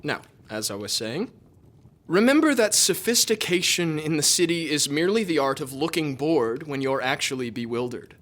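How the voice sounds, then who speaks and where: An actor playing a cheeky, grandiose young aristocrat in an audio drama, speaking in a wry, declamatory way. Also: male voice, a little high, sing-song, normal speed, a bit bright. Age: young adult.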